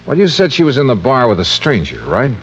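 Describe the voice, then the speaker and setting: A man's voice: medium pitch, huge pitch swings, fast, fairly bright tone, slight background noise. An actor playing a wry, clipped insurance investigator who narrates his case and questions people in a 1950s-style radio drama. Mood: coolly probing. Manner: wry, clipped, coolly probing. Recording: muffled old radio recording, music behind.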